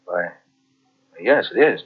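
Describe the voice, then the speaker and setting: A nervous houseguest who keeps calling out and pressing for answers in a vintage radio thriller. His low, husky voice swings widely in pitch. It comes through a dull, muffled old broadcast recording. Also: lively intonation, slow pace, heavily acted. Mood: uneasy. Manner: urgent, insistent calling out. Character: anxious male houseguest hearing howling. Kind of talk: 1940s radio acting.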